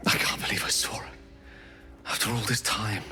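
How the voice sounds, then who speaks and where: An actor playing an earnest, eloquent wizard, articulate and theatrical. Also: male voice, lowish voice, steady tone, normal speed, bright, forceful tone, soft background music. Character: earnest young wizard, eloquent, self-reproaching. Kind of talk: video game voice acting.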